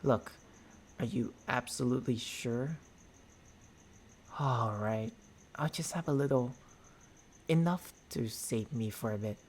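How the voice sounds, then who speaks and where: A man voicing a gentlemanly, tender vampire boyfriend in an intimate ASMR roleplay, speaking haltingly into a close binaural microphone with formal endearments and a husky, breathy voice. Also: mid-range voice, a little hiss or hum. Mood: reluctant, tender.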